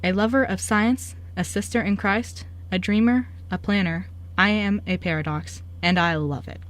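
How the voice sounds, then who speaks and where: A woman's voice: medium pitch, normal speed. A young woman doing amateur scripted reading as a narrator and poetry reader.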